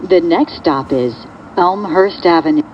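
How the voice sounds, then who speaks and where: A woman reading scripted subway train announcements in measured, clearly segmented phrases, heard over the car's speakers. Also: lowish voice. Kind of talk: scripted recorded transit announcement. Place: played over speakers inside a subway car. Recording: dull lo-fi capture, loud train noise.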